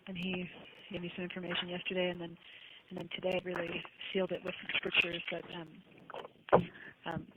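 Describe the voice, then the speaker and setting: Casting: female inmate on a dull, noisy phone line, earnest testifying delivery, fairly flat intonation, scripture reading. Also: on the low side, fairly quick, long pauses. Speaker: jail inmate making a phone call. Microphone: telephone handset. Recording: noisy, muffled recorded jail phone call.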